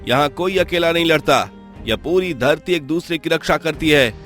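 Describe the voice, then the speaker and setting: A man's voice: a little high, moderate pace, fairly bright tone, faint music behind, natural voice. Man playing a noble, earnest young cartoon prince. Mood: resolute. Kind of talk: Hindi cartoon moral-story voice acting.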